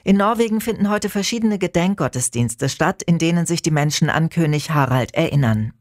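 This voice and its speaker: A man's voice: fairly high pitch, lively intonation, no room sound. Formal male radio newsreader, dry studio. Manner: measured, formal delivery. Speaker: news anchor. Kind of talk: scripted news reading. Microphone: studio microphone.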